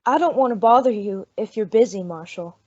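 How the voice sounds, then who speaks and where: A woman's voice: mid-range voice, fairly quick, a little mellow, close to an everyday voice. A woman playing an anxious, humble frontier mother in an old-time radio western, earnest and pleading in a rustic way. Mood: worried, pleading. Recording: dull lo-fi radio drama recording.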